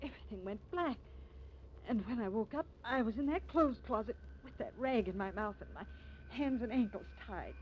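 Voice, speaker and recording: A woman's voice: fairly high pitch, expressive, normal speed, close to an everyday voice. A woman playing a frightened, fretful widowed mother, pleading and overwrought, in 1950s radio melodrama. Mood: dazed, distressed. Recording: dull, hissy vintage radio recording.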